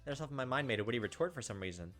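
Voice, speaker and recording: A woman's voice: rumbling low, expressive, racing. A woman reading a visual novel aloud as gameplay host, with wry, sardonic casual commentary. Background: game music.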